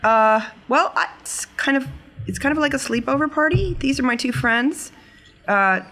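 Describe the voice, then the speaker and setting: Woman acting as a sheepish youngster, nervous, hesitant, evasive, rambling, slightly high voice. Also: lively intonation, normal speed, pushed and bright, heavily acted.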